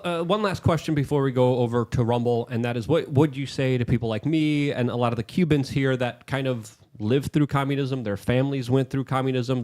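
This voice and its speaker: A man's voice: medium pitch, a little mellow. A man from Poland hosting a livestream interview, asking long, winding questions. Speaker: host.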